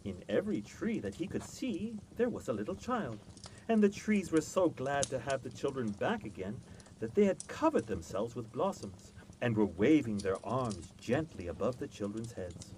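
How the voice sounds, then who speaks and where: A man reading a classic children's story aloud as an expressive scripted narration. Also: medium pitch, moderate pace, slight background noise, low-quality recording. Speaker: storyteller, reader.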